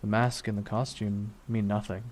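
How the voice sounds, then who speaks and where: A man playing a brooding, wistful villain in an intimate ASMR roleplay, speaking slowly and deliberately with long pauses and quiet menace. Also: lowish voice, little pitch movement, natural voice. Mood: resolute, dark. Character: villain reflecting beside his dying superhero nemesis, wistful, menacing. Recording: noticeable rain noise throughout. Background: rain falling on a surface.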